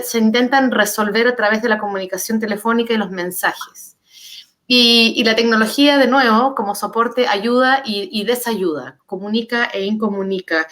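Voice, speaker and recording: A woman with a Chilean accent reflects aloud in a panel discussion. Her voice sits at a middle pitch. She is thoughtful and searching, circling a point with pairs of words until she lands on it. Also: fairly bright tone. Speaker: author on a literary panel.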